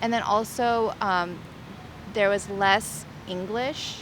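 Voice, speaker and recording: Young woman from the US, relaxed chatty interview, filler words, traffic behind. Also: medium pitch, slow pace. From United States. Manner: casual, uses many likes and ums. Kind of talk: casual outdoor interview. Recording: street noise with traffic.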